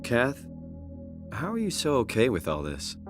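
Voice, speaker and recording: A man's voice: mid-range voice, wildly swooping pitch, a little slow, soft background music. An actor voicing a questioning, earnest everyman hero in a video game, talking in a casual, wry way. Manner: casual, inquisitive.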